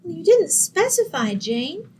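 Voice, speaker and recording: Lady doing a sweet dim young gal from old radio comedy, high pitched, bit of noise behind. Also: big pitch swings, measured pace, a little mellow, heavily acted. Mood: earnest, scheming. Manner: earnest, innocent, dim-witted. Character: sweet, naive, scatterbrained young woman roommate.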